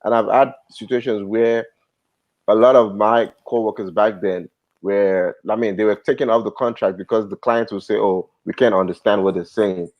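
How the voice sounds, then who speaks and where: A man with a Nigerian accent gives earnest, measured advice in a group discussion. His voice sits fairly low. The sound is dry and close, with no room echo. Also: fairly even intonation, muffled recording. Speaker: panelist. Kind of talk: casual discussion.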